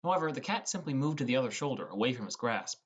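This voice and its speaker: A man narrates a first-person audiobook story. His voice sits at a middle pitch, delivering scripted prose. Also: very animated, quick pace, husky, lo-fi sound.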